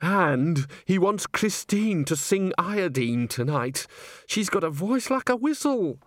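An exasperated male theatre owner from a comic audiobook, acted out by a male narrator. His voice lifts higher as he frets and protests, stammering and wailing in indignant horror at everything around him. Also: expressive, slow, a bit bright, no room sound, clean studio sound, heavily acted. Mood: worried moaning.

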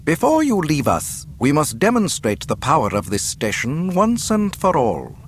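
A man's voice: a little high, pitched above the performer's own voice. A man acts out a cruel, self-satisfied imperial governor from a space-opera storybook. His courtesy is mocking and precise, and his lines rise and fall in wide, theatrical arcs. Some noise sits behind the voice. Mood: coldly satisfied. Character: cold, smug, cruel male imperial governor.

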